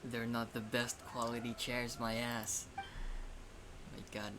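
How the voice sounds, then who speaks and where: A man giving casual gameplay commentary in slangy, deadpan asides. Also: medium pitch, slow pace, noisy background. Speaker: game streamer. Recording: loud game music behind.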